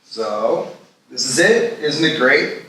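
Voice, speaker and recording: A man's voice: medium pitch, expressive, slow, sharp tone. A man acting a smitten, self-absorbed man in his thirties at a live table read, earnest and gushing. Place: live festival stage reading in a large room. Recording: echoey hall, distant mic, noisy.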